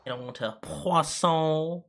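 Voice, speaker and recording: Goofy low-voiced woman gamer. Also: slow, creaky. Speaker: game streamer. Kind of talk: casual playful reactions.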